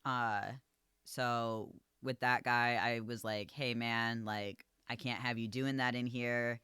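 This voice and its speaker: A man who manages a coffee shop, talking casually and calmly, with a voice that projects and lots of "like" and "um.". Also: mid-range voice, slow, clean tone, no echo, clean studio sound. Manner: calm, easygoing, filler-heavy with lots of "like" and "um". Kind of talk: casual podcast chat.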